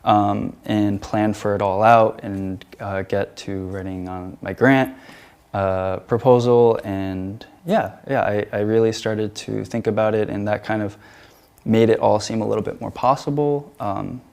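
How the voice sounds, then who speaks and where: Low gravelly young man, hesitant. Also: fairly even intonation, measured pace, darkish tone. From United States. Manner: hesitant, many fillers and restarts. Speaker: featured artist, guest. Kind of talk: casual interview answers.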